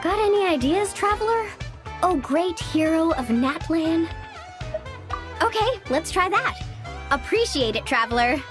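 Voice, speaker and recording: A woman's voice: very high-pitched, sing-song, measured pace, heavily acted. A woman voicing a bubbly, friendly young beach girl in a video game, breezy and gushing. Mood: dismayed then hopeful. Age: young adult. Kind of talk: animated video game voice acting. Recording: loud background music.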